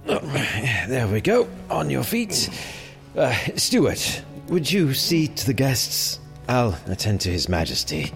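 An actor playing a cunning, suspicious medieval sheriff with a measured, wry manner in an audio drama. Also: male voice, medium pitch, sing-song, creaky, faint music behind, heavily acted. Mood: coaxing.

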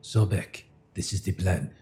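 A man's voice: deep voice, level tone, a little slow, warm tone. A man playing a tabletop game, giving orders in character in a commanding, bossy way. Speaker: player.

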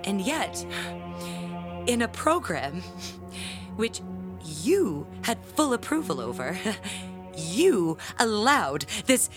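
A woman playing a proud, jealous parishioner and bell ringer in an audio drama, speaking in a pompous, theatrical, halting way. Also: medium pitch, sing-song, over music. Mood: indignant, wounded pride.

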